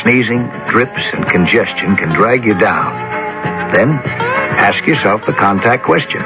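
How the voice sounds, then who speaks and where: A man's voice: on the low side, lively intonation, measured pace. Male commercial announcer over music. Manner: upbeat, selling. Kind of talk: radio commercial. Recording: dull old radio ad with loud music.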